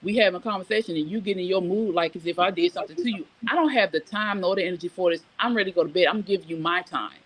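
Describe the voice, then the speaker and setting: A woman's voice: on the low side, racing, few pauses, fairly bright tone, rough recording. Frank woman host, chatting live. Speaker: live morning show host. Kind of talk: casual chat, reading viewer comments and telling personal stories.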